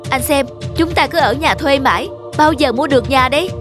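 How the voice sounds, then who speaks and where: A woman's voice: high voice, brisk, over music, lightly acted. A woman voicing a thrifty young wife in a cartoon, scolding and insistent. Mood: annoyed, scolding. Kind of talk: cartoon voice acting.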